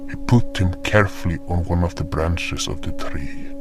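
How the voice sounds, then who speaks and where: Low slow guy narrating a fantasy tale, solemn, music behind him. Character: solemn animal guardian spirit telling its tale. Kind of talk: first-person scripted story narration.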